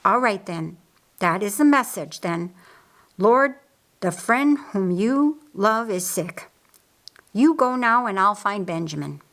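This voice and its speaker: A woman playing a calm, authoritative man who heads a household, measured and instructing, in an audiobook. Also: mid-range voice. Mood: resolved.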